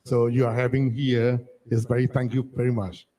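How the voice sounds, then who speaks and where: A man from Myanmar whose first language is Arakanese, telling a childhood story as a panelist in halting, restarting English. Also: mid-range voice, flat delivery, warm tone, a little hiss or hum. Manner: halting, restarts sentences, non-native phrasing. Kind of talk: personal story at a panel discussion.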